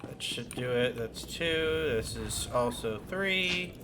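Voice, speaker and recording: A man gives playful, casual commentary while playing a game. His voice is average in pitch, with clearly audible game ambience and noise behind it. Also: lively intonation, takes it slowly. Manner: playful, chatty. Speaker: game streamer.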